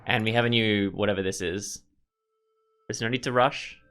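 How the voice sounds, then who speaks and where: A man's voice: lowish voice, steady tone, normal speed, fairly bright tone, faint music behind. A man giving casual let's play commentary as a gaming video host, musing and thinking aloud as he goes. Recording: clear background noise and ambience.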